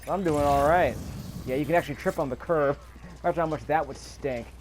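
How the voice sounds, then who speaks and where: A man's voice: on the high side, a little fast, slight background noise. A man giving casual, off-the-cuff gameplay commentary, musing aloud and repeating his phrases. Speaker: gaming video host. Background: faint video game ambience.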